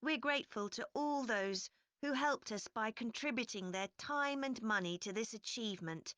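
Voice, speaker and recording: A woman playing a park representative giving a measured, scripted informational presentation to visitors. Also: on the high side, a bit bright, no room sound, natural voice. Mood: informative. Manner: measured, clearly explanatory. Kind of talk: scripted informational presentation, listening test recording.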